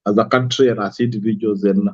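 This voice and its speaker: A man's voice: fairly low pitch, fairly even intonation, fast, no echo, dull audio. A man from Kenya, a guest from an apple farm, talking easily and repeating his words. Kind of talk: casual interview talk.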